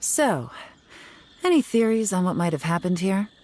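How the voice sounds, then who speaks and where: A woman's voice: on the low side, sing-song, moderate pace, darkish tone. An actress playing a composed, sly young woman investigator in a video game, dry and teasing as she explains. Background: faint game music.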